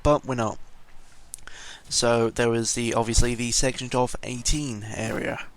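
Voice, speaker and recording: A man's voice: medium pitch, steady tone, measured pace. A seventeen-year-old British young man rambling casually through an audio log, hesitant and tangential with mild swearing, sounding a bit under the weather. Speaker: vlogger. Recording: noisy home microphone recording.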